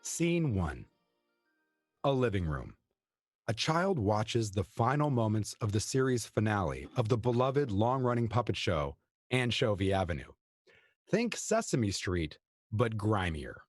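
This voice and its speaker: Male narrator for a play reading, lowish voice, animated intonation, scripted stage directions. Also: a little slow, no room sound, clean studio sound, soft background music.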